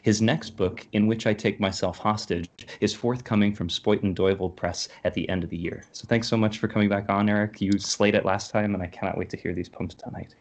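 A man's voice: on the low side, fairly even intonation, a little fast, hardly any pauses. A man hosting a poetry reading over a video call, casual and appreciative as he introduces readers. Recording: dull, lo-fi video call audio.

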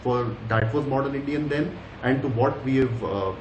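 A man's voice: medium pitch, normal speed, muffled recording. A man in his thirties hosting an interview, asking prompting questions over a video call. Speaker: interviewer host. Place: indoor room. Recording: echoey room with background noise.

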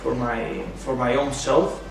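A young man answering an interview question haltingly, searching for words, recorded indoors on a distant camera mic. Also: medium pitch, vocal fry. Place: small indoor room. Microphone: far camera mic. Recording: echoey room, distant mic, faint music.

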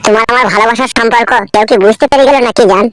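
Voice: medium pitch, steady tone, very fast, no echo, soft background music, lightly acted. An actor playing a smitten young man, a secret lover in a comedy cartoon, pleading and cajoling. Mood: wheedling.

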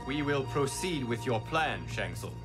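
An actor playing a calm, noble warrior god in a video game, speaking as a measured, earnest leader. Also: male voice, mid-range voice, over loud music, close to an everyday voice. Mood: calm resolve. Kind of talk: video game cutscene acting.

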